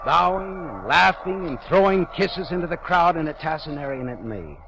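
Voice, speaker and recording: A man's voice: fairly high pitch, animated, normal speed, gravelly, noisy background. An actor playing a wry, tense male private detective who narrates in clipped, hard-boiled 1940s radio style. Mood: tense narration. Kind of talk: 1940s radio drama acting. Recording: dull lo-fi 1940s radio broadcast. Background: dramatic music score.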